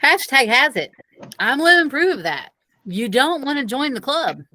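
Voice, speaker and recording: A woman's voice: high voice, sing-song, normal speed, pushed and bright, exaggerated voice. A woman reading the dialogue of a frustrated, protective schoolboy in a children's audiobook. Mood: urgent, protective. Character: protective, frustrated schoolboy warning his friend. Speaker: audiobook reader.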